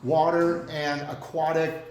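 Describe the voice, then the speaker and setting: A man chairing a club meeting, his pitch sitting a touch high for a man. He talks loud and plain, and the room rings around him with a faint hiss of background noise. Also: flat delivery, slow, reverberant. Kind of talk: community meeting discussion.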